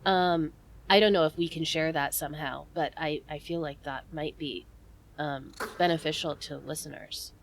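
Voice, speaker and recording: A woman's voice: low voice, fairly even intonation, moderate pace. A woman co-hosting an improvised comedy advice show, chatting and explaining in a conversational way. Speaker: comedy podcast host.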